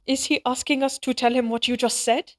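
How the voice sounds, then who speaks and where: A woman's voice: high-pitched, fairly even intonation, brisk, lightly acted. A woman acting in an audio roleplay as an anxious, tender young mother who speaks hesitantly and wistfully.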